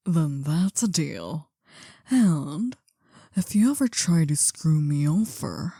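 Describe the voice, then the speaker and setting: An older female crime boss, acted by a woman, murmurs right into the ear in a very dark, mellow whisper. She is slow and deliberate, breathy and possessive, soft but dangerous in an ASMR roleplay, recorded close and dry. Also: low voice, no echo, exaggerated voice. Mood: quietly menacing. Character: possessive older female mafia boss, soft-spoken, coaxing yet menacing. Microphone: close dry microphone.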